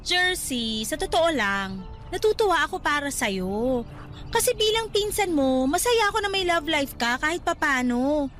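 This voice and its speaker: Radio drama part: nagging, sensible young Filipino woman, very high piercing voice, exasperated and cautionary. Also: big pitch swings, moderate pace, fairly bright tone. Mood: earnest, cautioning. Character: nagging, sensible female cousin and resort co-worker. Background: music bed.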